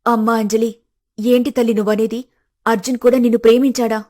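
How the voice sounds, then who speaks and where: A woman voicing an affectionate, motherly older aunt with a gentle manner in an animated family story. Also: on the high side, a little fast, lightly acted. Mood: surprised. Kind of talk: animated moral-story dialogue.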